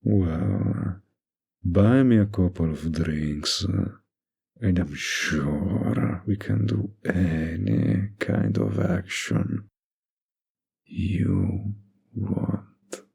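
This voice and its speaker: Man playing a slow, husky flirty mafia boss. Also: deep voice, expressive, warm tone, heavily acted. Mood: suggestive, sly.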